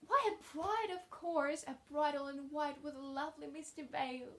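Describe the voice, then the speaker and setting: An eager young orphan girl out of a storybook, played by a woman, chattering away in a high voice. She is effusive and dreamy, full of imagination as she talks. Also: normal speed, natural voice. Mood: dreamy, gushing.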